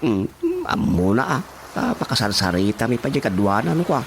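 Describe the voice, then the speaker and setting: Animated, hesitant Ilocano man. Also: medium pitch, brisk, vocal fry. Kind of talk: Ilocano radio drama comedy acting. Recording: faint noisy ambience behind.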